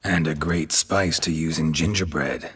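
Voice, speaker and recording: An actor playing a gruff, guarded monster hunter in a video game, terse and deadpan, with a gravelly voice. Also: male voice, extremely low pitch, measured pace, heavily acted. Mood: dry. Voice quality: gravelly, husky.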